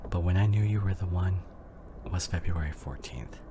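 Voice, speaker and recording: A man's voice: sits low, moderate pace, noisy background, natural voice. A man acting a devoted, worried husband in an emotional ASMR drama, speaking intimately and breathily, often half-whispering. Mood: confessional, sorrowful. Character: devoted, worried husband comforting his injured wife.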